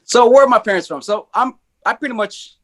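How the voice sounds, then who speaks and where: A man who grew up in the Philippines, a podcast guest telling childhood stories in an animated, rambling way with frequent restarts. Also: extremely high pitch, fairly quick. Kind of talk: casual storytelling.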